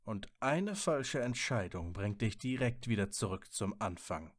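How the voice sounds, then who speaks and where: A man's voice: fairly low pitch, expressive, unhurried, dry recording, clean studio sound. A man acting a stern, guarded, tormented wizard in a fan audio drama, with a curt, sardonic manner. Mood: sober, matter-of-fact.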